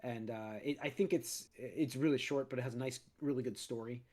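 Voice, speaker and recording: Rambling male podcast host, slightly raspy. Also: medium pitch, fairly quick, darkish tone. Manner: rambling, many restarts and fillers. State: recovering from a cold, slightly raspy. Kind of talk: casual chat.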